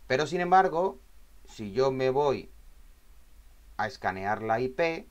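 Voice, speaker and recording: A man's voice: medium pitch, lively intonation, takes it slowly, lo-fi sound. Man giving an informal tech tutorial. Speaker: tutorial instructor. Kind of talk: informal spoken screen tutorial.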